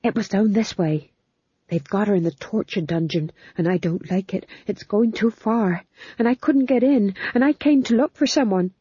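A woman voicing a small, hot-tempered male court fool with a high, shrill voice. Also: normal speed, warm tone, breathy edge, no room sound, lo-fi sound. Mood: anxious.

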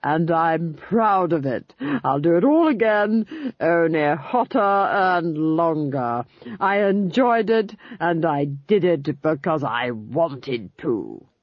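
A woman's voice: expressive, takes it slowly, dry recording, muffled recording, exaggerated voice. A woman reading an audiobook, voicing a cruel, gloating duchess who rants at her captives. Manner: shrill, ranting, exultant.

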